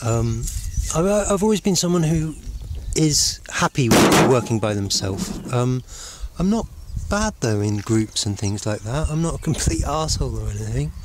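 A middle-aged man giving a rambling, reflective monologue to camera, halting as he searches for words. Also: mid-range voice, animated. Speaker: vlogger. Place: outdoors in open countryside. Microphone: handheld camera at arm's length. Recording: noisy outdoor camera recording. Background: birds and outdoor noise.

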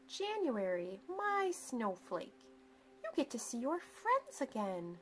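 An affectionate, firm picture-book mom acted by a woman, coaxing in a high sing-song. Her pitch swings widely as she urges. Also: very animated, slow pace, a bit bright, breathy edge, heavily acted. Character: affectionate, firm storybook mother rousing her child.

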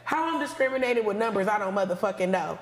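A woman's voice: medium pitch, expressive, fast. An American woman hosting a casual talk show, brash and playful, with heavy slang and swearing. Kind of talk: casual chat with callers. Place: small studio lounge with couches. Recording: noisy, reverberant studio sound with clicks.

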